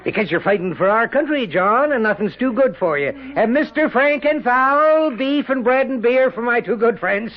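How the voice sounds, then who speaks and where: An actor playing a sly, prosperous American farmer in a radio drama, wheedling and mocking with false heartiness. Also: male voice, extremely high pitch, expressive, moderate pace, hardly any pauses. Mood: falsely hearty, wheedling. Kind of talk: old-time American radio drama acting. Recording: dull, band-limited 1970s radio broadcast.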